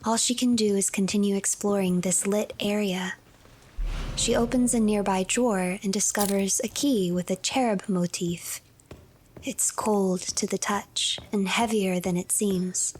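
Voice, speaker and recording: A woman narrating a story game in a hushed, breathy storytelling manner, as if reading a tale aloud. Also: mid-range voice, steady tone, measured pace, slight background noise, poor audio.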